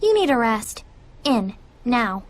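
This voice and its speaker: A woman playing a sardonic, bossy, easily bored young female spirit in an animated game, sharp-tongued and teasing. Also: fairly high pitch, takes it slowly. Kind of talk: animated game cutscene acting.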